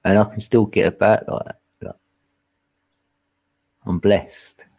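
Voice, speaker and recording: Man, late forties, easygoing chatter; slow, husky and low-pitched. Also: steady tone, darkish tone. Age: 40s. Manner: laid-back, chuckling, easygoing. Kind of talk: casual live chat.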